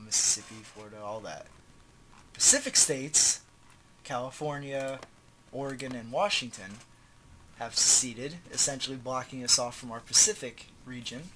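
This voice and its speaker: A man's voice: mid-range voice, background noise. A man with a stuffed-up nose and a slightly sore throat, casually walking through a strategy game tutorial in an offhand, slangy way while clicking through menus. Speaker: gaming tutorial host.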